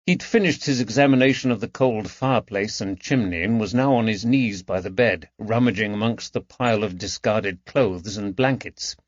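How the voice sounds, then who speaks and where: A man's voice: fairly low pitch, moderate pace, few pauses, dry recording, lo-fi sound. A man reading scripted narration of a mystery novel as an audiobook narrator.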